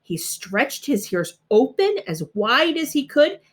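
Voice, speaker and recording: A woman narrating a rhyming children's storybook aloud in an expressive, dramatic way. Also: high voice, takes it slowly. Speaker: storybook reader.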